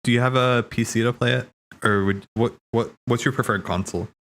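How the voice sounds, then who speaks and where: A man's voice: medium pitch, fairly even intonation, a little fast, fairly bright tone, a little hiss or hum. A man chatting casually on a livestream as he watches game cutscenes, rambling and thinking aloud.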